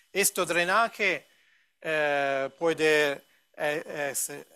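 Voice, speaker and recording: A middle-aged man with an Italian accent, a native Italian speaker giving a conference talk in a second language, halting and filling pauses often. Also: a little high, pushed and bright, smooth. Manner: halting, many fillers, mixes in Italian words. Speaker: university professor presenting.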